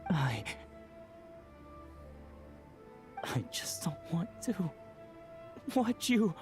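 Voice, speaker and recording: Anguished youth, wildly swinging pitch. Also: female voice, very animated, music in the background. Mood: grieving. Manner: halting, emotional, protesting. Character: anguished, defiant youth clinging to a loved one. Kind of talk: dramatic video game voice acting.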